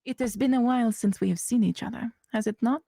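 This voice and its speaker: Woman doing ancient shadow-realm noble, put-on Russian accent, formal and dryly polite, pitched a touch higher, very dry close sound. Tabletop game voice. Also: vocal fry, warm tone, no room sound. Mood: courtly. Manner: formal, measured, dryly courteous.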